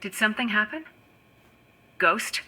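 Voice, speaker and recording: Worried caring partner type in a game, earnest, probing, super bright midrange voice. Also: female voice, medium pitch, lively intonation, unhurried, full character voice. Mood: concerned. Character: concerned, caring woman close to the hero. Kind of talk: video game voice acting.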